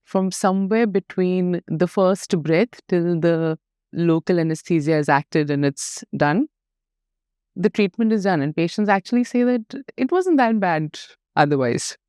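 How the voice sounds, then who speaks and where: A woman from Nepal talks through her path as a dental specialist, her voice low and mellow. She tells her story warmly and reflectively, pausing on fillers and restarting her sentences. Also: expressive, clear, resonant tone. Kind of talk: conversational podcast interview.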